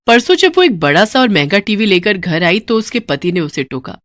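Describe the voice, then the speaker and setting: A woman's voice: mid-range voice, big pitch swings, normal speed, fairly bright tone. A woman narrating a scripted cartoon story in a dramatic storytelling style.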